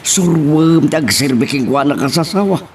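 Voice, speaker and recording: A man's voice: a little high, animated, normal speed, darkish tone, lightly acted. A man playing a stern, exasperated father with an Ilocano accent, scolding in a drama. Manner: scolding, sermonizing. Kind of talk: Ilocano radio-style drama acting. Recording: distant mic with clear noise.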